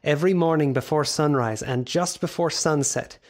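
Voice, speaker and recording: An actor playing a sober diarist, giving measured, serious narration in an audio drama. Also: male voice, fairly high pitch, darkish tone, lightly acted. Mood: grave, measured. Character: sober male diarist narrating a gothic pursuit.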